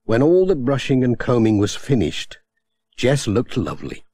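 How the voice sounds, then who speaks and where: A man narrating a children's storybook in a gentle, expressive way on a close studio mic. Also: medium pitch, normal speed, dry recording. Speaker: audiobook narrator.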